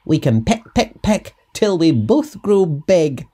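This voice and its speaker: A man's voice: a little high, sing-song, slow pace, warm tone, voice pushed up, exaggerated voice. A man voicing a friendly, hungry little bird, inviting and sing-song, in a storybook. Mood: eager, inviting.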